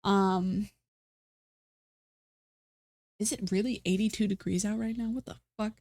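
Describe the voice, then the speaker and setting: A woman talking casually on a live stream from home, her voice pitched in the middle and a little stuffy. She rambles and restarts, full of fillers and self-mocking asides. The sound is close and dry, with no room echo. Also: steady tone, a little fast, darkish tone. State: stuffy nose.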